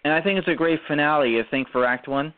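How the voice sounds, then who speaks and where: A man hosting a radio show, speaking in a halting way that often restarts and repeats phrases as he gives commentary. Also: fairly high pitch, clean tone, no room sound. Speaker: radio show host and writer-performer. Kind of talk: show commentary, semi-prepared talk. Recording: muffled lo-fi audio.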